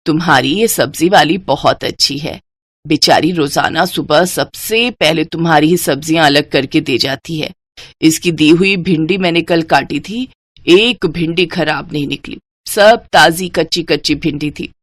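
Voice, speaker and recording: A woman doing a soft-spoken older mother. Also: low-pitched, unhurried, no room sound, voice pushed down. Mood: approving. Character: older mother, gentle and fond.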